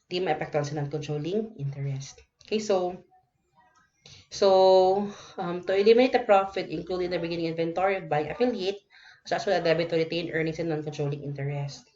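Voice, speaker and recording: A dull, lo-fi recording carries a woman's low voice with a Filipino accent. She lectures step by step through worked problems, adding little check-in tags as she explains. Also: a little fast, darkish tone. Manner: explanatory, often checks with okay and no. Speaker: accounting lecturer.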